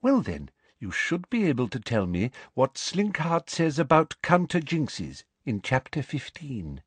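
A man voicing a prim, sugary, vindictive middle-aged female teacher in a smooth, condescending manner for a storybook. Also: medium pitch, huge pitch swings, measured pace, dry recording, voice pushed up, heavily acted. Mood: icily hushed.

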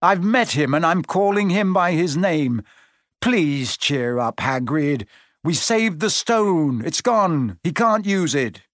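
A man's voice: fairly high pitch, expressive, takes it slowly, fairly bright tone, no room sound, clean studio sound, pitched above the performer's own voice. A man voicing an earnest, plucky and kind schoolboy, direct and emphatic, in an audiobook. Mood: insistent, comforting.

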